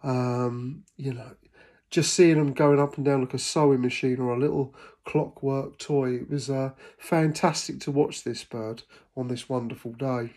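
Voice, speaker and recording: A man's voice: medium pitch, steady tone, unhurried, a little mellow. A middle-aged man from England narrating his birding vlog as a casual voice-over, enthusiastic and hesitant, with many ums and uhs. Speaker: birding vlogger.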